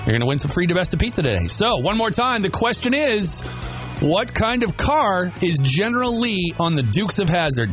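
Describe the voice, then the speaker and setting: An American man hosting a morning radio show with casual, folksy banter, playfully repeating himself for emphasis. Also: fairly high pitch. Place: radio studio.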